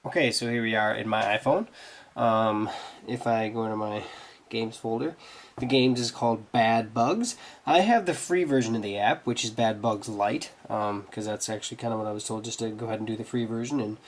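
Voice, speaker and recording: A man's voice: mid-range voice, fairly quick. A man with a stuffed-up, nasal voice from a cold gives a casual, meandering review commentary on a mobile game. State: congested, getting over a cold. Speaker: app reviewer, host. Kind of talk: casual review commentary over a gameplay demo.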